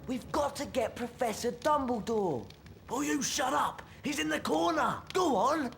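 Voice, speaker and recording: An actor playing an excitable young schoolboy wizard in a video game. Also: high voice, measured pace, gravelly, faint music behind, exaggerated voice. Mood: urgent. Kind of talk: video game cutscene acting.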